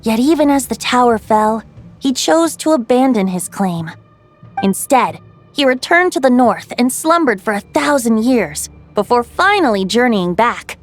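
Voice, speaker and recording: A woman playing a calm, playful female guide telling old lore in a video game, measured and poised. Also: a little high. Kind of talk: scripted game dialogue, storytelling.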